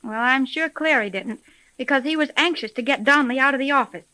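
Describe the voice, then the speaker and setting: 1930s radio drama, female private detective, high voice, earnest, crisp period delivery, dry old recording. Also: a little fast, sharp tone, no room sound, rough recording. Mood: keen, reasoning. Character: earnest young woman private detective running an agency.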